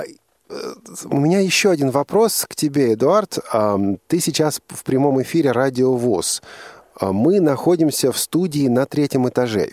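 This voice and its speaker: A man's voice: medium pitch, a little mellow, no echo. A man hosting a live radio talk show from a studio, putting questions and linking segments. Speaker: radio host.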